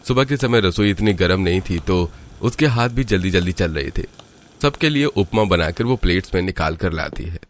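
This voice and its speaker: A man's voice: low-pitched, lively intonation, moderate pace. A man narrating a scripted cartoon story. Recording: music behind the voice. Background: background music.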